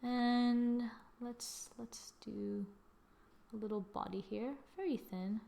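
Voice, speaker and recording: A woman's voice: on the high side, fairly even intonation, slow, vocal fry, warm tone. Chatty woman narrating a design tutorial. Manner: chatty, narrates each step as she works. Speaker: tutorial host. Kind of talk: screen-recorded software tutorial.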